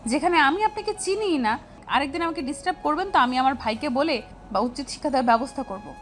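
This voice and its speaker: Woman acting a high-voiced feisty schoolgirl. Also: animated, fast talker, faint music behind. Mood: angry, indignant. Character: feisty, easily annoyed teenage schoolgirl. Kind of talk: Bengali audio story acting.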